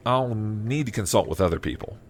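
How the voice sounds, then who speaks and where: Man as a humble, low-voiced farmer. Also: animated, brisk, slight background noise, close to an everyday voice. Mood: hesitant, concerned. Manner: plain-spoken, hesitant, folksy. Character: humble, hesitant male Iowa farmer, plain-spoken husband. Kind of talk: audio drama acting and first-person narration.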